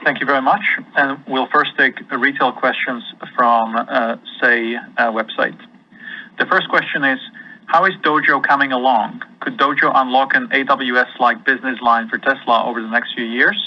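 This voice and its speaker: A man's voice: mid-range voice, steady tone, normal speed. A man acting as moderator of an earnings call, reading out submitted questions. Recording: dull lo-fi conference call audio.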